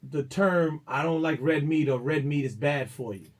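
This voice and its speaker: A man, a radio host and DJ, telling stories in a rambling, halting way with many restarts during a casual interview. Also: on the high side.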